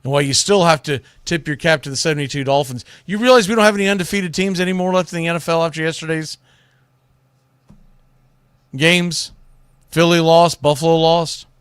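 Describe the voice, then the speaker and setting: A male sports radio host with a slightly high voice, recorded close and dry. He gives casual, colloquial commentary with plenty of opinion, talking directly to the listener. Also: fairly even intonation, fairly quick, a bit bright, smooth, no room sound. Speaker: sports talk show host.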